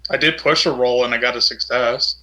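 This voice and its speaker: A man's voice: medium pitch, steady tone, brisk, bright, forceful tone, poor audio. A man playing a tabletop role-playing game and talking casually about his moves and rolls.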